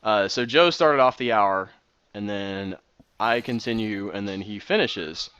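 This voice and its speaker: A man in his thirties, excitable and rambling, chatting casually while playing a building game. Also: fairly low pitch, measured pace, smooth, no echo, muffled recording. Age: 30s. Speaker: Minecraft builder and streamer. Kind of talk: casual commentary while gaming.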